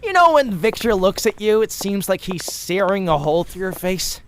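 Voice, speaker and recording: A man acts out a flippant, excitable young cartoon bootlegger. He is theatrical and long-winded, with pitch that swoops all over. There is faint noise behind him. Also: normal speed, poor audio. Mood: excited, rambling. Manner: theatrical, wordy, rambling. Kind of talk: animated comic dub acting.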